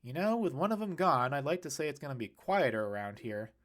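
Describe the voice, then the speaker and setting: A man's voice: a little high, lively intonation, fairly quick, fairly bright tone. A man voicing a casual, blunt adult man in a storybook, skeptical and teasing, with informal, emphatic delivery. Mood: wry.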